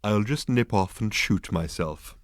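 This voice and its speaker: A man voicing a polite, placid bovine creature that offers itself as dinner, in slow, soft, courteous tones. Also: fairly low pitch, no room sound, studio quality.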